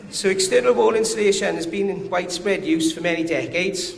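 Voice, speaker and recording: Welsh guy giving a nervous conference talk, kinda high voice, big roomy hall w faint hiss. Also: fairly quick, creaky. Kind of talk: conference presentation. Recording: echoey hall with faint noise.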